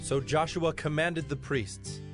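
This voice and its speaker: A man narrating scripture from a script in a solemn, measured manner. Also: a little high. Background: music.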